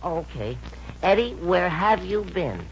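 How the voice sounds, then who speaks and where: Casting: gee-whiz, slow-witted American sidekick, eager to please, hesitant, faint hiss on an old radio show. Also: male voice, sits high, sing-song, exaggerated voice. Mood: confused, obliging. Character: hapless, dim, well-meaning young male pal. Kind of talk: 1950s radio comedy acting. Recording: dull, hissy old radio broadcast.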